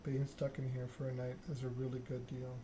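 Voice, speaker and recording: A man voicing a curt, coldly controlled vampire enforcer from a story game, speaking in a measured, threatening manner. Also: mid-range voice, completely flat delivery, close to an everyday voice. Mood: stern warning. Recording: clear background noise.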